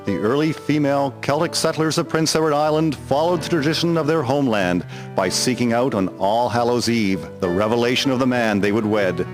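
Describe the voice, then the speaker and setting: A man's voice: mid-range voice, brisk. A man reading scripted historical narration for a dramatic story. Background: music.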